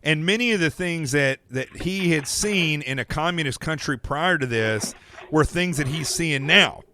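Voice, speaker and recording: A man with an American accent hosting a podcast, talking in a casual, rambling way with restarts and quick asides. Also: a little high, animated, sharp tone, a little hiss or hum. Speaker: podcast host.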